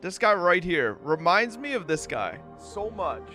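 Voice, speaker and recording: A man's voice: high voice, big pitch swings, measured pace, pushed and bright. A man livestreaming a game reaction, joking crudely and swearing as he chats casually with viewers. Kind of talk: casual chat with viewers, profane. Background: game music.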